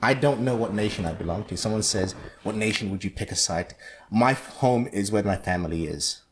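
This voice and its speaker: A man hosts a casual podcast chat. His voice sits low, and he explains things in long, animated runs. He is from Zambia. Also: a little fast, noisy background. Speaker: podcast host.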